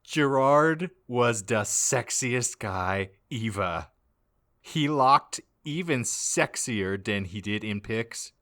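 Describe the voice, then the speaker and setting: Bright, slow-paced male comic narrator. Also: mid-range voice, lively intonation. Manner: reads garbled misspellings aloud as written. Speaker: podcast host reading as narrator. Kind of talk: comic dramatic narration of fan fiction.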